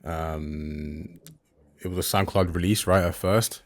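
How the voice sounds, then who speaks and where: A man's voice: low voice, steady tone, slow. A man hosting a casual interview, friendly and informal, calling his guest bro. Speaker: host.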